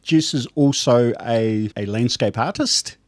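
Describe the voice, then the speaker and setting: A man hosting a podcast interview, relaxed and easygoing, opening with Māori greetings. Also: mid-range voice, measured pace, no room sound, studio quality. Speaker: podcast host. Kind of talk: casual interview.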